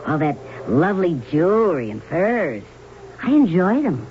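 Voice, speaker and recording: A woman's voice: lowish voice, sing-song, unhurried. An actress playing a bitter, cutting American wife in a 1970s radio drama, dismissive of her gambler husband. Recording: noisy, dull vintage radio broadcast.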